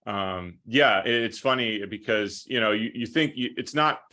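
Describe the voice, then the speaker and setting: Male sports broadcaster as interview guest, low bright voice, conversational, winding delivery. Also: moderate pace. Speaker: hockey play-by-play broadcaster being interviewed.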